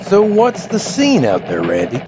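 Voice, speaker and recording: A man's voice: sits high, huge pitch swings, unhurried, noisy background, heavily acted. A man playing an earnest, formal local TV news anchor in a comedy radio bulletin. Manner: formal, questioning anchor delivery. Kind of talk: mock special news bulletin. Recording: dull lo-fi audio with music behind.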